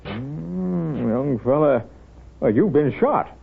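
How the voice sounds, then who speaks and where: An actor playing a wry, kindly small-town doctor with a folksy, unhurried manner in a 1930s radio drama. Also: male voice, fairly high pitch, expressive, creaky, background noise, heavily acted. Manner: folksy, wry, unhurried, hesitant drawn-out asides. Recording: thin, tinny 1930s radio recording.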